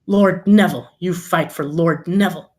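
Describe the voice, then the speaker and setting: A man playing a gruff, bullying army sergeant who barks orders at peasant conscripts in a screenplay table read. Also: sits high, moderate pace, natural voice. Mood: fierce, rousing. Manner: commanding, barking. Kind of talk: table read of a film script.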